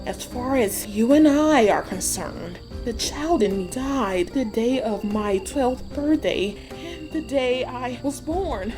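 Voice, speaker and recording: A woman playing an elderly, knowing witch in a dark fairy tale audiobook, speaking in measured, cryptic and solemn storytelling. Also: on the high side, expressive. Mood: cryptic, calm. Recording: music behind the voice. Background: music.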